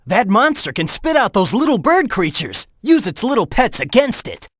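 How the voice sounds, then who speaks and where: Brash game hedgehog hero, teasing and coaching his rival, animated delivery, dry close booth sound. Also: high-pitched, moderate pace, no echo, exaggerated voice. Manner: cocky, teasing banter; urgent warnings and coaching. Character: cocky young male hedgehog hero, fast and teasing. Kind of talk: video game voice acting.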